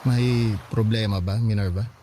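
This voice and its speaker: An actor playing a gentle, supportive young boyfriend who reassures his girlfriend. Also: lowish voice, fairly even intonation, measured pace, slight background noise, natural voice. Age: young adult.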